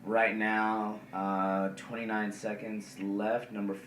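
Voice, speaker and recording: Low-voiced man hosting a podcast. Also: level tone, takes it slowly, fairly bright tone, far from the mic. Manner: rambling, many ums and uhs. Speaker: podcast co-host. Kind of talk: casual chat about wrestling. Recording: echoey room, faint noise.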